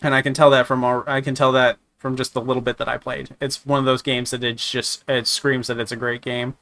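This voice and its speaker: A man with a slightly raspy voice from a sore throat, chatting casually while reviewing games, rambling with many restarts and fillers. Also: medium pitch, little pitch movement, brisk, sharp tone. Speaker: gaming streamer. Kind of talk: casual game review chat.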